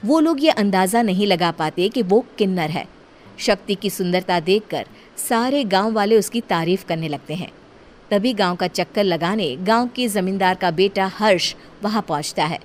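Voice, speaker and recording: A woman narrating a cartoon horror story in a steady, plain storytelling manner. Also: mid-range voice, normal speed, a little hiss or hum.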